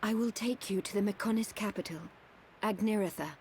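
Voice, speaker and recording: An actress playing a calm, solemn woman of an ancient machine people in a game cutscene, speaking in a measured, formal way. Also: medium pitch, slight background noise, lightly acted. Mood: calm, resolute. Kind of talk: video game cutscene acting. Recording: loud music behind the voice. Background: orchestral game music.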